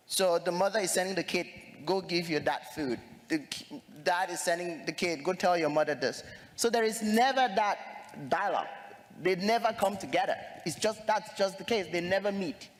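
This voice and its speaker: An earnest young man from Cameroon speaks on a conference panel, his voice sitting a little high. He hesitates often with fillers. A long hall reverb trails behind every word. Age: young adult.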